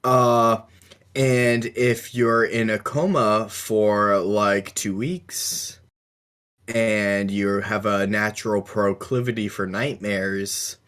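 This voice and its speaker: A man with a lowish voice co-hosts a casual discussion. He speaks slowly and haltingly, thinking his way through each explanation. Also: level tone, a bit bright. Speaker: podcast co-host.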